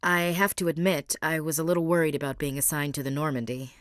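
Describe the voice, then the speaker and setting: A woman's voice: deep voice, steady tone, fast talker, dry recording, clean studio sound, natural voice. An actress playing a tough, blunt young female marine in a video game, speaking in a direct, clipped soldierly manner. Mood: steady. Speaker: game character.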